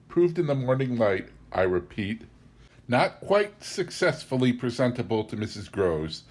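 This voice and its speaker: A man reading a classic story aloud as an audiobook, with a theatrical, deliberate and emphatic delivery. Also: lowish voice. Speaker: audiobook reader. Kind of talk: dramatic literary narration.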